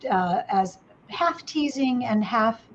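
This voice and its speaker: A woman's voice: on the high side, animated, slow pace, highs cut off, slight background noise. A woman hosting an interview, asking long, winding questions that summarize the story before getting to the point. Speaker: host interviewer. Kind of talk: casual interview.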